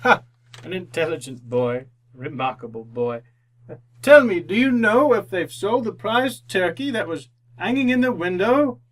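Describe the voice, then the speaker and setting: An actor playing an old repentant miser in a radio drama, theatrical and fervent. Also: male voice, sits high, very animated, normal speed, fairly bright tone, exaggerated voice. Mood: giddy delight. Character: old repentant miser, fearful then overjoyed.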